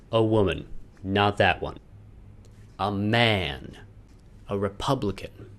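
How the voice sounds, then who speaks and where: A man speaking in a wry, self-deprecating way with joking asides, as in a personal video made by its creator. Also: medium pitch. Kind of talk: casual vlog intro, then loose dramatic reading of a personal letter.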